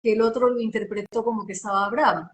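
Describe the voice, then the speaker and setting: Woman, voice-over artist, slightly high voice with a light sing-song lilt, emphatic and teacherly, heard over a video call. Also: steady tone, quick pace, slight background noise. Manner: emphatic, teacherly, repeats phrases. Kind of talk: live interview, giving advice.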